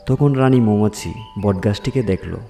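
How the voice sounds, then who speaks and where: A man's voice: on the low side, normal speed, mellow, soft background music. A man narrating a scripted fairy tale for children as a storyteller.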